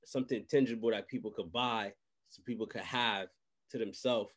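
A young man in a casual chat, giving advice in an animated, slangy way and often repeating phrases. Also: on the low side, normal speed. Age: young adult. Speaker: podcast host and music industry adviser. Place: small indoor room, video call. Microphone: desk microphone, close.

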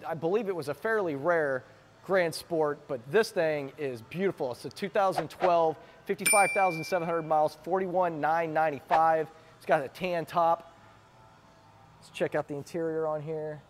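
A man's voice: on the high side, moderate pace. A man giving an enthusiastic, chatty walkaround commentary as a car vlogger inside a warehouse, filmed on a handheld camera.

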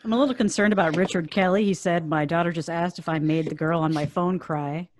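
A woman's voice: lowish voice, brisk. A woman chatting casually on a live stream from home in a playful, wry way. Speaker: podcast voice performer on a live stream. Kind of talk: casual chat. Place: indoors at home. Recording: clear background noise with clicks.

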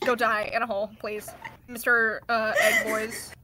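A woman doing a halting, giggly, irreverent improvised cartoon fandub. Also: fairly high pitch, flat delivery, crowd noise. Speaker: amateur fandub voice performer. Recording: noisy home recording.

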